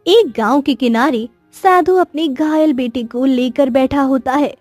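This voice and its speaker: A woman narrates a children's story in a high voice. The scripted delivery is recorded very dry, with faint music behind it. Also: a little slow, darkish tone, dry recording.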